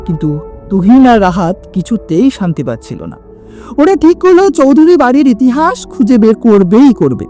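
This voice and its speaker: Woman telling a spooky story, higher voice that swings up and down, soft music behind. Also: big pitch swings, normal speed. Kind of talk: scripted horror narration.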